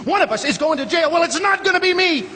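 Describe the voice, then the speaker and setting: A man playing an earnest, excitable small-town family man in an old-time radio drama, stammering and repeating his words with a husky voice. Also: very high-pitched, fast talker, noisy background. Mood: frantic, furious. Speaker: actor in a live radio drama. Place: theater stage, live performance. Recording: reverberant theater stage recording.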